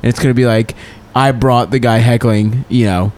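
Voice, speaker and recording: A man's voice: mid-range voice, fairly even intonation, moderate pace, darkish tone. Man, podcast co-host, casual comedy chat, rambling storyteller, crude joking manner, mid-range voice.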